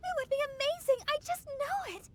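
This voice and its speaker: A woman's voice: very high voice, fairly quick, a little hiss or hum. A woman voicing a sweet, bubbly and dreamy teenage girl in an anime-style video game. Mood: dreamy, cheerful. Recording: light music behind.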